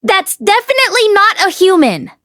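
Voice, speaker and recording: A woman voicing an excitable young male cultivator, a decisive know-it-all about magic, in an audiobook. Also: very high voice, moderate pace, no echo, clean studio sound, voice pushed up, exaggerated voice. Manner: exclaiming, decisive.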